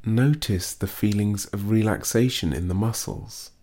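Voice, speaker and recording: A man leads a guided muscle relaxation exercise, his voice sitting on the low side. He speaks very slowly and calmly, gently instructing the listener step by step. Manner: slow, soothing, gently instructive.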